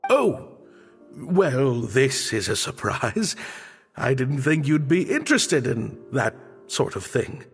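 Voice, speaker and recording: An actor playing a theatrical, high-strung troupe director in a video game, with grandiose, emphatic delivery. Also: male voice, big pitch swings, slow pace, gravelly, exaggerated voice. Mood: smooth, grandiose. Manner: grandiose, emphatic, florid phrasing. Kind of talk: dramatic game voice acting. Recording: reverberant studio voice with music. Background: background music.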